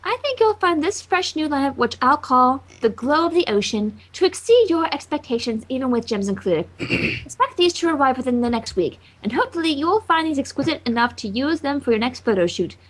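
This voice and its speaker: A woman's voice: high voice, big pitch swings, a little fast, poor audio. A woman voicing a prim, refined adult female cartoon pony dressmaker in a fan fiction reading, speaking formally and properly. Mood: prim, proud. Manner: formal, proper letter dictation.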